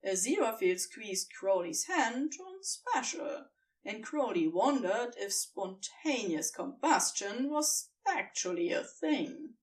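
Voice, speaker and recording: A woman reading scripted fan fiction narration in an expressive storytelling manner. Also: medium pitch, slow pace, recorded from a distance.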